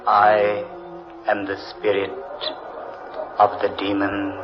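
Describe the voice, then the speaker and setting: An actor voicing the menacing spirit of a demon tree in slow, drawn-out, ominous old-time radio horror style. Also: male voice, lowish voice, completely flat delivery. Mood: slow menace. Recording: dull old radio, distant, loud music.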